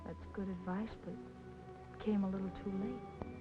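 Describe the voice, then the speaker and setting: An earnest, lovestruck young woman from a vintage noir melodrama, played by an actress. Her medium-pitched voice pleads plaintively through a muffled old soundtrack, with music playing behind. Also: steady tone, a little slow, close to an everyday voice. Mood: yearning, earnest. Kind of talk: film noir melodrama acting, mid-century.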